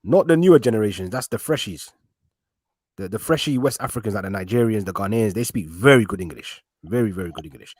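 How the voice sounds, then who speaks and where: A man's voice: lowish voice, lively intonation, fast, darkish tone, dry recording, studio quality. A man in a casual group chat, confident and teasing.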